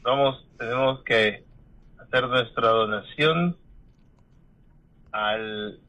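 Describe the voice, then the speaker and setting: A man's voice: medium pitch, drawn-out words, sharp tone, highs cut off. A man, a priest joining a casual radio chat in a good-humored way. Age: 50s.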